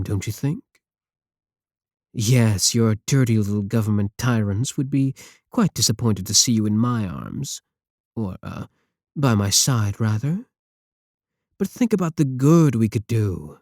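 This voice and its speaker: A man's voice: fairly low pitch, darkish tone, no echo, clean studio sound. A man playing a possessive, seductive supervillain in an ASMR audio roleplay, with theatrical, condescending endearments and an idealistic contempt for the world.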